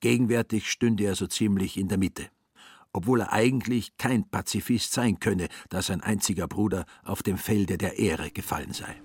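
A man's voice: fairly low pitch, normal speed, a little mellow, slightly rough, dry recording, clean studio sound. A man narrating a literary radio play in a wry storytelling manner. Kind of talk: literary narration with acted inner monologue.

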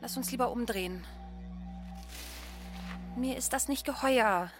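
Woman as timid, high-voiced young girl. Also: measured pace, over music, lightly acted. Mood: frightened, stubborn. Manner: hesitant, childlike, protesting. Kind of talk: radio drama dialogue.

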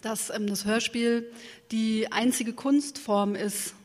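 A woman's voice: fairly high pitch, steady tone, slow, darkish tone. A woman moderating an interview, putting long, articulate, considered questions with some hesitation. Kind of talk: prepared interview questions, spoken live. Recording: long hall reverb.

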